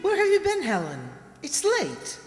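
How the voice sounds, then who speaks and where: A woman in a radio drama playing an ailing, irritable old stepmother with a sharp, imperious manner. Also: very high-pitched, wildly swooping pitch, unhurried, full character voice. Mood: cross, impatient. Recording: echoey hall with music behind.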